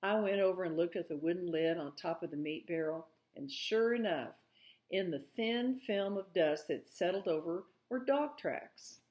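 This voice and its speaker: A woman narrating a storybook aloud in an expressive way. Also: fairly low pitch, moderate pace.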